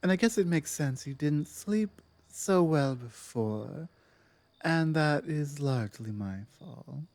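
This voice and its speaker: A woman playing a dominant but softening werewolf pack leader in an ASMR roleplay, speaking in a measured, earnest way with thoughtful pauses. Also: deep voice, lively intonation, no echo, heavily acted. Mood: calm, solemn. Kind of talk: ASMR roleplay, close one-on-one address.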